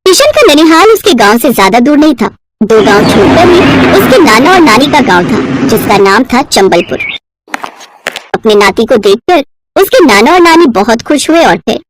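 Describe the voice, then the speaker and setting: A woman's voice: fairly high pitch, sing-song, normal speed, noisy background. A woman narrating a scripted children's story expressively, as a storyteller.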